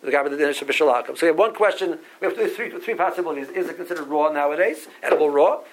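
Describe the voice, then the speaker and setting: A man's voice: a little high, very fast, slight background noise, poor audio. A man from Barbados giving a halachic lecture, often repeating words as he works through sources and reading out Hebrew legal terms. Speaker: rabbi teaching a Talmud class.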